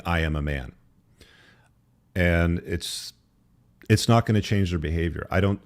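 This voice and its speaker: A man's voice: extremely low pitch, a little mellow. A man speaking as an interview guest, explaining his opinions in a conversational, opinionated way. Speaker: podcast guest, author.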